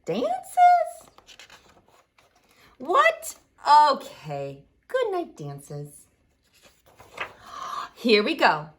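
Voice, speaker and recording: High-pitched, slow-paced woman leading children's storytime. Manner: expressive, exaggerated delivery aimed at small children. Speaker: library storytime leader reading to children. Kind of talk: animated children's sing-along and picture-book read-aloud.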